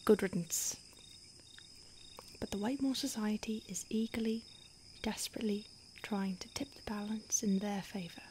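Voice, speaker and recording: A woman's voice: on the high side, slight background noise. Whispering woman as a brooding female vampire. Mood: resigned, philosophical. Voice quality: soft, breathy whisper. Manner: slow, intimate, halting. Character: centuries-old female vampire, starved, brooding, remorseful predator. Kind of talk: whispered roleplay ASMR.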